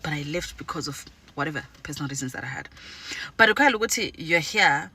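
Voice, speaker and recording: Young woman from Zimbabwe, talking frankly. Husky and very bright, animated, swears freely. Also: low voice, quick pace. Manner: animated, switching languages mid-sentence, blunt and profane. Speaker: interviewee. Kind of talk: candid talk on camera.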